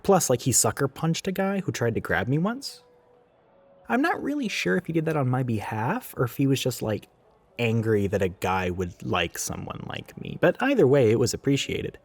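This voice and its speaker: Audio roleplay: male actor as a sarcastic, rambling retail coworker of ambiguous gender, flippant and casual, with widely swinging pitch. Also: sing-song, normal speed, vocal fry. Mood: wry. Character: sardonic, chatty, gender-ambiguous retail coworker who goes by she. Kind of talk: casual roleplay monologue addressed to the listener.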